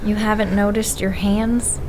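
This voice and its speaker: An actress playing a detective partner who speaks calmly and dryly, steadying a panicked colleague. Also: female voice, mid-range voice, unhurried, natural voice. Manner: calm, dry, reassuring. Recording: noisy background.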